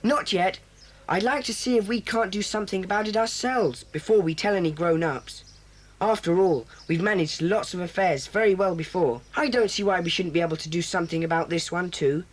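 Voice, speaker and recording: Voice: mid-range voice, a little fast, natural voice. A performer voicing a solemn, bossy boy who leads a children's club, speaking in an earnest, formal, commanding way in a 1980s children's audio drama.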